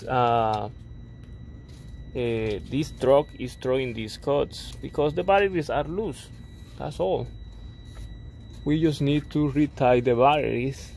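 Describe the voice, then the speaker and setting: A man talks through a truck repair in a casual, hands-on way, pointing things out as he goes. His English is full of fillers and restarts. It sounds recorded on a phone, with a clear vehicle hum underneath. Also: medium pitch, a little mellow. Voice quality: non-native English with filler-heavy restarts. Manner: explains step by step, pointing things out. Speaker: diesel truck mechanic. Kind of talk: casual how-to walkthrough. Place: truck cab and battery compartment.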